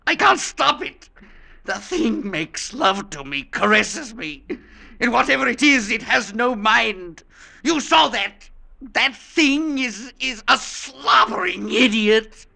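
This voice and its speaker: A man's voice: extremely high pitch, animated, slow pace, creaky, bright, forceful tone, heavily acted. An actor playing a haunted, proud older male professor in a radio drama, speaking with urgent intensity that edges toward panic. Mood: frantic. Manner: intense, urgent, rising to near-hysteria. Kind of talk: 1950s radio drama acting.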